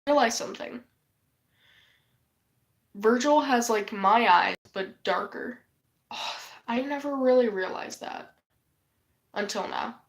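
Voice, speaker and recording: A woman's voice: on the high side, slow pace, creaky, pauses often. A woman who is losing her voice from a cold, rambling in a casual vlog, sighing often and swearing offhandedly. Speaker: vlogger.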